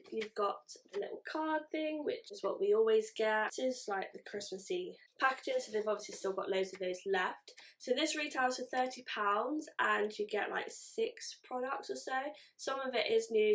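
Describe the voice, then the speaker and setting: A woman's voice: fairly high pitch, moderate pace, fairly bright tone, a little hiss or hum. A woman chatting casually through a beauty unboxing, sounding nasal from a cold. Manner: chatty, rambling, self-correcting. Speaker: beauty vlogger.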